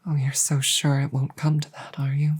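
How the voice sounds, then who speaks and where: A woman voicing a proud but embarrassed female dragon, tender toward a human partner, in an intimate roleplay audio drama, speaking formally and haltingly with a breathy voice. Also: extremely low pitch, level tone, a little mellow, full character voice. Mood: resigned, nervous. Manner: formal, flustered, halting with repeated words.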